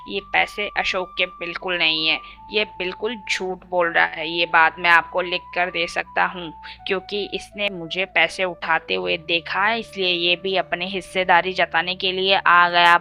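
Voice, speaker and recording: Woman voicing a bright, insistent greedy village man. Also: on the low side, fairly even intonation, moderate pace, gravelly, few pauses, faint music behind. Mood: protesting. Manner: protesting, insistent. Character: greedy, pleading village man arguing his claim.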